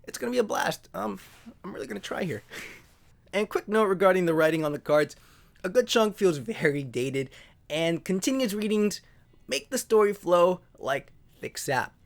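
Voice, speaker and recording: A man's voice: high voice, expressive, normal speed, vocal fry. A man hosting an informal video introduction, wry, joking and self-deprecating. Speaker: host.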